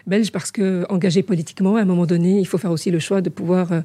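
A woman politician originally from Morocco answering interview questions in a measured, hesitant way, pausing often between phrases. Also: fairly low pitch, fairly even intonation, dark tone, no echo. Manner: measured, hesitant, with frequent pauses. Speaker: politician guest.

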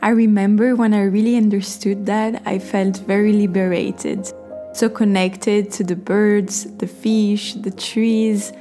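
A woman hosts a podcast in calm, reflective scripted narration. Soft music plays faintly under her mid-pitched voice. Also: unhurried. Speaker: podcast host.

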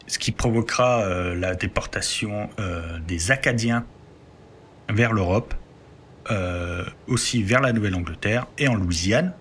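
A man with a lowish voice explains history in a relaxed podcast chat. He talks it through step by step, pausing on filler words as he builds his sentences. Faint background noise sits under his voice. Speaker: host telling history.

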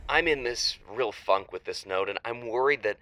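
A man playing an anxious, insecure aspiring novelist calling a radio show in an audio drama, halting and flustered. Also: medium pitch, bright, forceful tone, dry recording, lightly acted, poor audio. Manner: halting, flustered, self-doubting. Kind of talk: semi-improvised audio drama.

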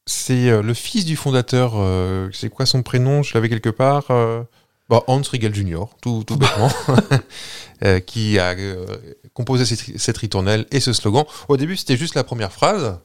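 A man's voice: medium pitch, expressive, moderate pace, clear, resonant tone. A man hosting a podcast, telling a story in a playful, rambling way with many hesitations. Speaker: podcast host. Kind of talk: casual chat, telling a story.

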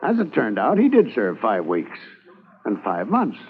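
A man narrating a 1950s radio drama in a lively storytelling way. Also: on the low side, measured pace, soft background music. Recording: tinny old radio transcription.

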